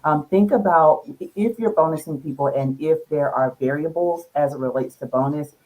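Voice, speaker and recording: A woman's voice: sits low, moderate pace, a little mellow, rough recording. A middle-aged woman, an HR professional, giving practical advice on a panel in a direct, conversational way.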